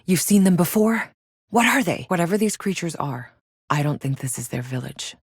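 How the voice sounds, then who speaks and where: Low-voiced, wry woman mercenary. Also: animated, moderate pace, husky, no room sound, studio quality. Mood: curious, puzzled. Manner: wry, blunt, colloquial. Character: tough, sardonic woman mercenary on an alien colony. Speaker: video game protagonist voice actor. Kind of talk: scripted game dialogue.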